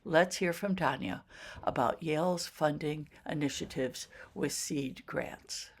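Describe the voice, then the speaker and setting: A woman trustee reading a formal, measured introduction of a guest speaker. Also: sits low, breathy edge. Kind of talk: read introduction.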